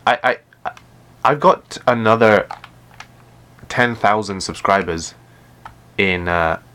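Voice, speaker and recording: Hesitant low-voiced man streaming a game. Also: slow, pushed and bright. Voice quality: deep voice. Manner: hesitant, stumbles and repeats words. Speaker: gaming live streamer. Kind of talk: casual chat while playing a game live.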